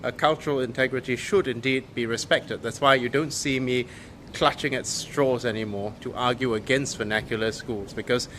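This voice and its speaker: A man from Penang, Malaysia, giving an earnest opinion monologue as host of his video channel, often pausing with fillers. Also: medium pitch, steady tone, fairly bright tone, slight background noise. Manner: earnest, hesitant with frequent fillers.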